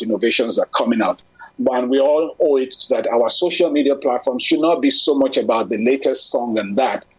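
A man in conversation, speaking in an earnest, measured, persuasive way. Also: mid-range voice, breathy edge. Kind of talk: conversational discussion. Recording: lo-fi muffled audio.

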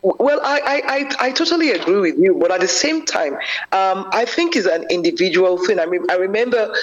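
A woman in conversation, expressive and animated as she tells her own experiences. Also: medium pitch, a little fast. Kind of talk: conversational discussion. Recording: lo-fi muffled audio.

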